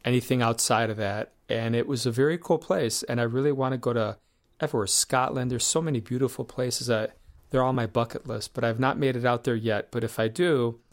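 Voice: medium pitch, a little fast. An interview host who asks questions in a loose, chatty way, full of filler words. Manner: loose, chatty, many "you know" and "like" fillers. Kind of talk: casual interview chat.

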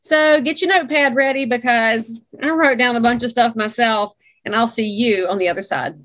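A woman hosting a podcast, her voice sitting a little high. She talks in an animated, opinionated way as she interviews a guest. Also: normal speed. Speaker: podcast host.

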